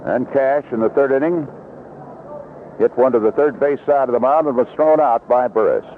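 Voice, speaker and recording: A man calling live baseball play-by-play on the radio in clipped, unhurried descriptive phrases. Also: medium pitch. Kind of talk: live radio sports commentary. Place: outdoor ballpark broadcast position. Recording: vintage 1970s radio broadcast, lo-fi, noisy.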